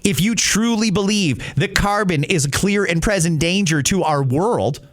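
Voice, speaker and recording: High-voiced man, podcast host, emphatic, opinionated, conversational political commentary. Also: normal speed. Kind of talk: political commentary, answering listener messages.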